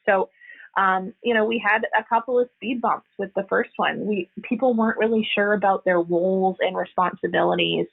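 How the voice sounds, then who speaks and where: Woman exec guest, husky and gravelly, earnest and halting, heard over a tinny phone line. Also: mid-range voice. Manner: earnest, explanatory, frequent hesitations. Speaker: HR executive, podcast guest. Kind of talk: conversational interview answers.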